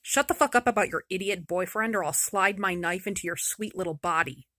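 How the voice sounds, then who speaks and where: A woman's voice: lowish voice, fairly quick, pushed and bright. A woman voicing a crude, tough robber girl who swears and makes threats with her knife. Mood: tough, teasing.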